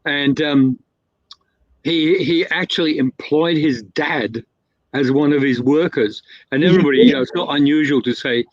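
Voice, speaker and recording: A man's voice: fairly high pitch, moderate pace, no echo. Rambling man telling a long story. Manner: rambling, with many fillers and self-corrections. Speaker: guest storyteller. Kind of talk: casual spoken anecdote. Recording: dull, lo-fi, dry sound.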